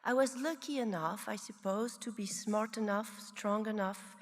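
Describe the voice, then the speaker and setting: A woman in her sixties from Belgium, a psychiatrist giving a prepared, reflective conference talk with measured, deliberate phrasing. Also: medium pitch, big-room sound. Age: 60s. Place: large conference hall.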